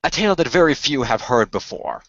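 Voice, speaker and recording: A man's voice: mid-range voice, sing-song, fairly bright tone, no echo. Radio play mystic male actor: earnest, courteous, formal storyteller, mid-range voice, close dry lo-fi sound. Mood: solemn storytelling. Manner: formal, measured, portentous storytelling. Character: Noah, courteous, earnest male keeper of a magical secret.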